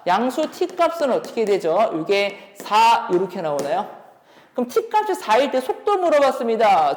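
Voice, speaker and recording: A woman teaching as a math instructor, explaining exam solutions step by step and often posing questions as she goes. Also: a little high. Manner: instructive, poses questions while working through steps. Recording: echoey room with long reverb.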